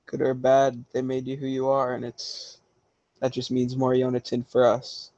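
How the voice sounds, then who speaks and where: A man's voice: medium pitch, completely flat delivery, moderate pace, mellow, no echo, muffled recording. A man playing a tabletop game over voice chat, describing his actions before speaking in character. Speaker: player.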